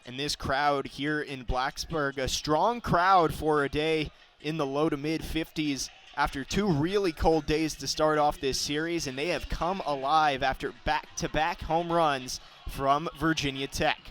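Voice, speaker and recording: A young man calling a baseball game live as play-by-play announcer, describing each pitch and getting excited on big plays. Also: a little slow, hardly any pauses, slight background noise. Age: young adult.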